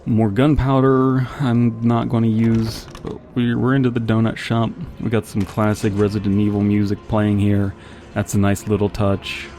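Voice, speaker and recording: A man's voice: lowish voice, flat delivery, moderate pace, warm tone. A man giving casual, rambling commentary as he plays a video game, with wry joking asides. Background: game music and gunfire.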